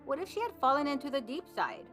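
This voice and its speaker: An actress playing a protective, anxious mother in an audio drama, expressive and full of concern. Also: sits high, quick pace, full character voice. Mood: alarmed, scolding. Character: protective, anxious mother, tender with her child. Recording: music under the voice. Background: music.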